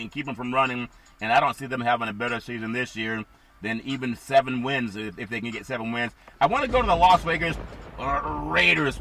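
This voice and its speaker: A man hosting a casual sports talk show, his voice a little scratchy and raspy, rambling through teams before turning to his co-host. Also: medium pitch, bright, forceful tone. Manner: rambling, asks co-host for thoughts.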